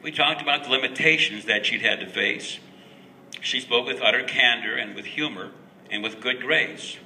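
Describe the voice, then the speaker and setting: A man giving an expressive, dramatic recitation of a rhyming story, with deliberate pauses. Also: medium pitch, creaky. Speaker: reader of a Christmas verse story. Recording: roomy, distant mic with faint noise.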